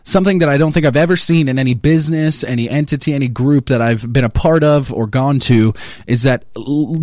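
Earnest young man with halting speech. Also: medium pitch, no echo, studio quality. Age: 20s. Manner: earnest and halting, with frequent restarts and fillers. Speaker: talk show co-host. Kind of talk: casual conversation on a talk show.

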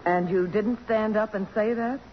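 An American actress playing a principled, defiant woman journalist with a crisp, cutting delivery in a 1950s radio drama. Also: medium pitch, fairly even intonation, moderate pace, lightly acted. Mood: challenging. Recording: dull, noisy old radio broadcast recording.

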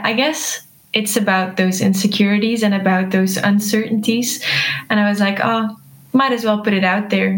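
A young woman from Belgium speaks English as a second language, her first being Dutch. She talks softly and hesitantly in a casual interview, often breaking into laughter. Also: mid-range voice, low-quality recording. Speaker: musician guest.

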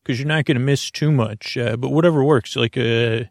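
A man with a creaky voice hosting a bedtime podcast in a slow, halting, soothing ramble full of tangents. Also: mid-range voice, no room sound, clean studio sound. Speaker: podcast host.

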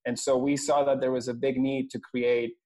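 A man from Venezuela, a company president answering interview questions earnestly, with frequent pauses and restarts. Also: medium pitch, little pitch movement, warm tone.

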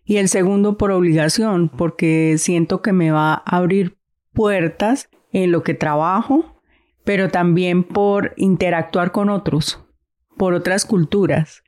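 A woman from Santander, Colombia, with a softened Santander accent, talking thoughtfully as a guest in a casual conversation. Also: fairly low pitch, unhurried. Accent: Santander Colombian Spanish, softened.

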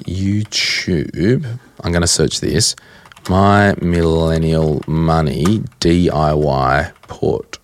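A young Australian man with a croaky voice hosting a casual solo podcast at his desk, answering listener questions bluntly and chattily. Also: rumbling low, takes it slowly. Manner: chatty, blunt, rambling with fillers. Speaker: podcast host. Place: at a desk, indoors.

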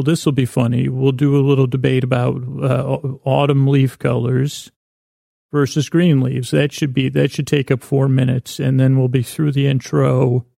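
A man with a gravelly, creaky voice wanders through a silly bedtime monologue. His tone is mellow and relaxed, and he stumbles and doubles back on words in a self-deprecating way. The sound is close and dry. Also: mid-range voice, no echo. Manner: meandering, halting, stumbling over and repeating words. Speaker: podcast host. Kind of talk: rambling bedtime podcast monologue.

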